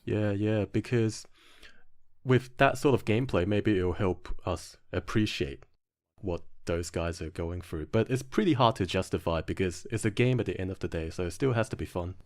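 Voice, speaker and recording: A man's voice: sits low, moderate pace. A man speaking as a podcast guest and game developer, discussing his views in a casual, reflective style.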